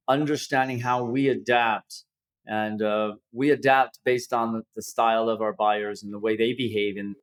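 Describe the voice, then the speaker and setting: Man host asking long winding questions. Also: mid-range voice. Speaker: podcast host. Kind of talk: podcast interview questions.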